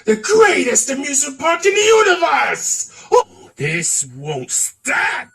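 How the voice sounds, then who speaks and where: A man's voice: very high-pitched, wildly swooping pitch, slow pace, vocal fry, pushed and bright, recorded from a distance. A man performing theatrical character voices in a voice acting reel, switching from one to another. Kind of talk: voice acting demo reel of game and cartoon character impressions.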